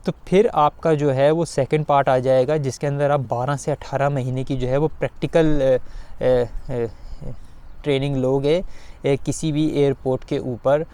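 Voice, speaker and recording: A man giving an informal explainer to viewers, speaking haltingly with repeated filler phrases. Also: mid-range voice, steady tone, dark tone, clear, resonant tone. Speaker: video guide host.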